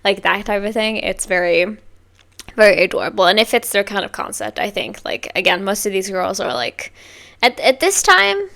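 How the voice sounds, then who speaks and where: A woman hosting a music reaction video, talking casually and rambling with lots of filler words, with bursts of excited whoops. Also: mid-range voice, normal speed, creaky. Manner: chatty, rambling, heavy on "like". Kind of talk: casual first-listen reaction.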